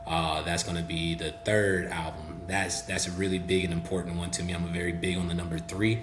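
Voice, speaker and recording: A young man from Memphis with a Southern accent, talking relaxed and chatty as a guest on a podcast, with many "um"s and "you know"s. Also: low voice, fairly quick, over music. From Memphis, Tennessee. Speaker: guest rapper. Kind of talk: casual podcast interview. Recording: echoey room with faint hum.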